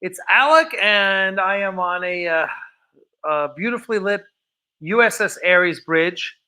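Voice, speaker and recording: Bright, high-voiced man hosting a livestream. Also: expressive, a little slow. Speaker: host of a fan production livestream. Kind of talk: casual livestream chat. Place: film set of a starship bridge.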